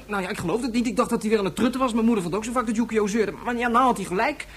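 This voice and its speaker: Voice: mid-range voice, rapid-fire, slightly rough, lightly acted. An actor playing an insistent, worried young boy in a science-fiction radio play. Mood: insistent, puzzled. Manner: insistent, breathless rush of questions and pleas.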